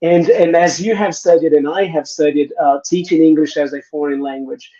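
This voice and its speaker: Man, middle-aged, raised mellow voice, lo-fi call sound, reflective panel talk. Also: fairly high pitch, fairly even intonation, moderate pace. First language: Portuguese. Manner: reflective, many restarts and "right?" tags. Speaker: panel guest, innovation consultant. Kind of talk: conversational panel discussion.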